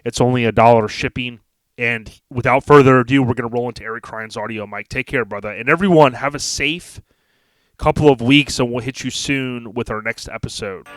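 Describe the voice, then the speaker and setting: A man's voice: mid-range voice, brisk, a bit bright, no echo. A man hosting a podcast in a casual, folksy, slangy way, mixing chat with sponsor reads. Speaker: podcast host.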